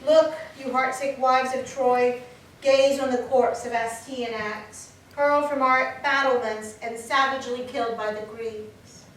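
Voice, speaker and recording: A woman's voice: on the high side, fairly even intonation, unhurried, natural voice. Woman acting an anguished captive, very echoey. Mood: grieving. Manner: declamatory, lamenting. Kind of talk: staged reading of Greek tragedy. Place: church, staged reading. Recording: very echoey hall, distant mic, some noise.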